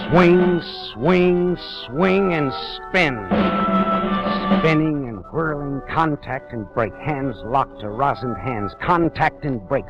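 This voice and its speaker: An actor playing a hard-boiled private detective who narrates in terse, dry first-person lines in a 1940s radio drama. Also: male voice, mid-range voice. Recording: dull vintage radio recording with music.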